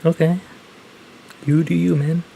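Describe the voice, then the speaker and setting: A man's voice: on the high side, flat delivery, unhurried, creaky, mellow, a little hiss or hum, low-quality recording. A man giving casual, rambling, hesitant commentary as he plays through a game, with slangy phrasing. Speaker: game streamer.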